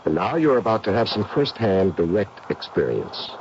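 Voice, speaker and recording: An actor playing a grave, assured gentleman investigator of the occult, speaking in a genteel, portentous manner in an old radio drama. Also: fairly low pitch, sing-song, moderate pace. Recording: muffled, faintly noisy 1970s radio recording.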